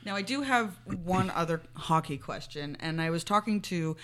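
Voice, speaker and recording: A woman's voice: lowish voice, animated, moderate pace, a bit bright. A woman from Canada co-hosting a conversational sports interview in a chatty manner.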